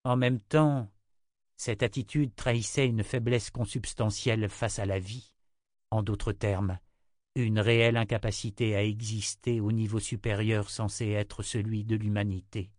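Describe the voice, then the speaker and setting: A man reading a fantasy novel aloud in a measured, deliberate way as its narrator. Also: on the low side, level tone, darkish tone, no echo, studio quality. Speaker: audiobook narrator. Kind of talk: scripted narration.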